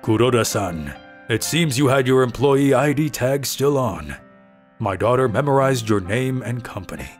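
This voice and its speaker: A man's voice: mid-range voice, lively intonation, natural voice. A man voicing a polite, serious middle-aged company president and father in a dubbed manga story, speaking formally. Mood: grave, grateful. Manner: formal, grateful, measured. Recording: music under the voice.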